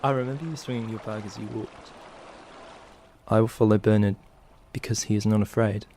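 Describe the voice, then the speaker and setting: A man's voice: lowish voice, fairly even intonation, a little fast, mellow. A man acting an orderly, self-effacing banker's son, speaking earnestly and with reserve in a literary drama. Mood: reserved, self-effacing.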